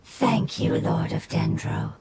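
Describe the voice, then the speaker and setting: A woman voicing a solemn, gentle elemental spirit in a video game, with a measured, formal, reverent delivery. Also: slight background noise, lightly acted. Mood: grateful. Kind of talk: scripted video game voice acting.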